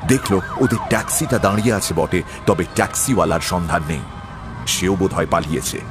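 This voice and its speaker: A man's voice: sits low, fairly quick. A man reading a scripted story in a dramatic narrating style. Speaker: narrator of an audio horror and adventure story. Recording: loud music bed under the voice.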